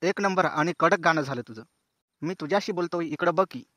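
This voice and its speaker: A chatty cartoon show host, played by a man, speaks colloquial banter in a fairly high voice. The sound is very dry, and he teases contestants while addressing viewers like friends. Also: brisk, sharp tone, dry recording. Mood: breezy, bantering. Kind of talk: comic cartoon show presenting.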